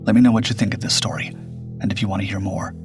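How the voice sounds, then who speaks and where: A man narrating a scripted first-person suspense story in a measured, grave storytelling manner. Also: lowish voice, fairly even intonation. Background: background music.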